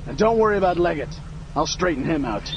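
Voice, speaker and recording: An actor playing a stern, no-nonsense American army sergeant with a firm, authoritative manner in a war video game. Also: male voice, a little high, expressive, fast, lo-fi sound, noisy background. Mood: brisk briefing.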